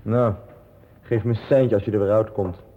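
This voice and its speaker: A man's voice: fairly low pitch, fairly even intonation, moderate pace, background noise, lightly acted. An actor playing a terse, cool male friend in a 1960s radio drama, speaking in clipped phrases. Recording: dull 1960s radio recording.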